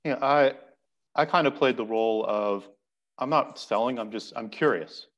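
A man's voice: mid-range voice, moderate pace, dull audio. A middle-aged man, a podcast guest, explaining step by step in a thoughtful, conversational way over a video call from a home office. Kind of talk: conversational interview answers.

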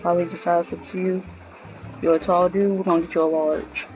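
A woman's voice: lowish voice, little pitch movement, brisk, darkish tone. A woman gamer chatting casually to herself as she plays. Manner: talking to self while playing. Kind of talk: casual gameplay chat. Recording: dull sound, game music behind. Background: game music and sounds.

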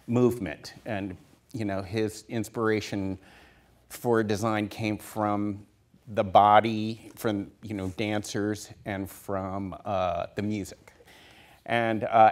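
A man in his fifties, a production designer on a stage panel, telling stories with many fillers and restarts into a handheld mic. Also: lowish voice. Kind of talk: casual storytelling on a panel. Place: theater stage before an audience.

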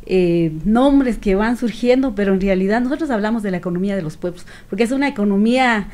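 A middle-aged woman with a Guatemalan accent giving earnest, reflective interview answers in a measured way, with pauses. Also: mid-range voice, darkish tone. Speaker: activist guest. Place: small radio studio with several people. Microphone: studio microphone on stand.

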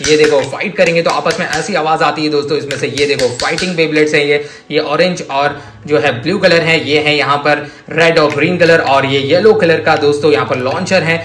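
A man with an Indian accent giving a gushing, enthusiastic toy demonstration as a review host, excitable and repetitive. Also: on the high side, brisk. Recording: echoey room with faint noise.

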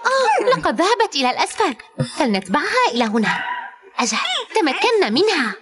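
Very high-pitched woman narrating for kids. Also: sing-song, quick pace, background noise. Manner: exclamatory, addressing a cartoon character directly. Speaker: narrator of a children's learning cartoon. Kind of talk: animated children's educational narration.

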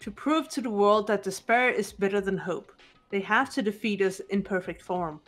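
A woman voicing a composed, analytical teenage girl in a measured, formal way for a fan-voiced visual novel. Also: mid-range voice, soft background music, natural voice. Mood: calm, confident.

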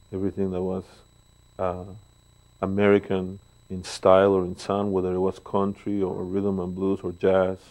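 A man in his sixties from Mexico with a Mexican Spanish accent, telling stories with pauses and fillers as an interview guest in a living room, speaking into a lapel mic. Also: sits low, rough recording. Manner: warm, storytelling, pauses with fillers. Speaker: guest bass player. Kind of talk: relaxed interview talk. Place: living room, seated on a sofa.

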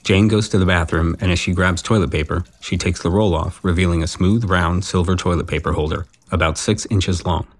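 A man reading scripted stage directions as narrator of an audio drama, in a matter-of-fact deadpan. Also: deep voice, a little fast, few pauses.

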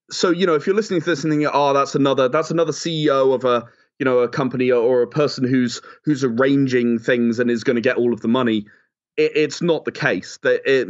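Guy being interviewed, emphatic and earnest, giving advice, a bit lo-fi sounding. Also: medium pitch. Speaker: interview guest, audio drama and game creator. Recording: slightly dull, lo-fi audio.

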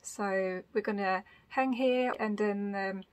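A woman in her thirties, a native Swedish speaker, talks to a camera held close inside a small sailboat cabin. She sounds relaxed and unhurried, thinking aloud with plenty of ums and uhs. Her voice sits at an everyday pitch. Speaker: sailing vlogger. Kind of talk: casual vlog talk to camera.

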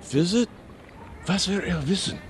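An actor playing a nervous Viennese porter in a radio drama, hesitant and stammering, with a German accent. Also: male voice, high-pitched, expressive, measured pace, a little hiss or hum.

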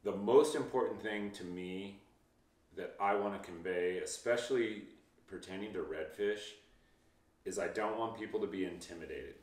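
A man's voice: fairly low pitch, normal speed, darkish tone. A man in his thirties, a fishing guide, giving easygoing, reassuring interview answers with frequent ums, seated in a home office. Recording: roomy, distant mic.

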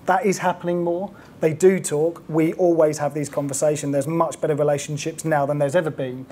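A man speaking as a panelist in a conference panel discussion, measured and businesslike, often restarting his sentences. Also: a little high, steady tone, a little mellow. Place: conference stage, indoors.

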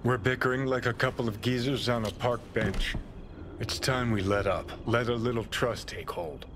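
A man's voice: mid-range voice, fairly even intonation, moderate pace, natural voice. An actor playing a cynical, profane rebel man who haunts another's head in a video game. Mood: reflective. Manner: sardonic, profane, tough-talking. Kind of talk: video game dialogue acting. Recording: game ambience and traffic noise behind.

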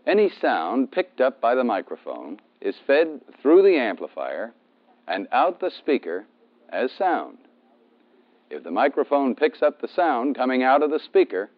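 A man's voice: mid-range voice, sing-song, a little slow. An American man giving methodical instructional narration as a training film instructor, stressing key terms. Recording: dull, band-limited 1970s film soundtrack.

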